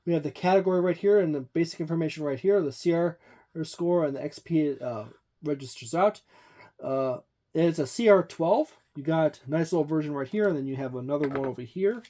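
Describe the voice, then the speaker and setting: Channel host, casual walkthrough, rambling, lots of fillers, points things out on the page, mid pitch. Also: a little fast, dark tone. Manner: loose and rambling, many fillers. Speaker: tabletop gaming channel host.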